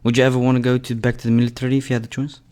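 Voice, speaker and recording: A young man hosting a casual podcast Q&A, talking quickly and loosely with swearing and restarts. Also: mid-range voice, monotone, darkish tone. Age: young adult. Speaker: podcast host.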